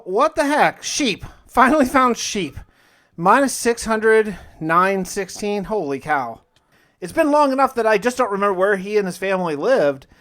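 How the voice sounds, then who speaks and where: Higher-voiced man narrating a survival game. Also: a bit bright. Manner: casual, folksy, conversational. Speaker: gaming video host and player. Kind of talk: first-person survival challenge narration with casual asides.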